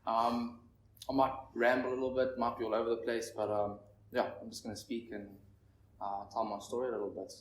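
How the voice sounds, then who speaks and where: A nervous young man in his twenties from Zimbabwe, sharing his recovery story at a meeting in a halting, rambling way. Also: mid-range voice, flat delivery. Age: 20s. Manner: halting, rambling, heavy on fillers. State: nervous, shaky. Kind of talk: personal recovery share. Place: meeting room with an audience. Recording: echoey room, distant mic, faint noise.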